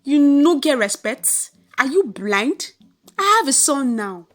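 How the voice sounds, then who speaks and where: A woman's voice: sits high, expressive, slow, a bit bright, faint music behind, heavily acted. A woman voicing a proud, boastful, hot-tempered mother with a Nigerian accent in a folktale. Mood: furious. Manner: boastful, scolding, emphatic.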